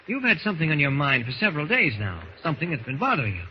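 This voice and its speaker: Heroic protective leader, lively intonation, commanding, reassuring, old radio drama, dull recording. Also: male voice, a little high, racing, slight background noise. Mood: firm, probing. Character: firm, protective, confident man, a heroic leader. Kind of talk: 1940s radio acting.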